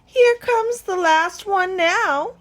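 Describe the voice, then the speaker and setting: Woman as a high, crooning mother goose. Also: takes it slowly, a bit bright, exaggerated voice. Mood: proud, happy. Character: proud, doting mother goose.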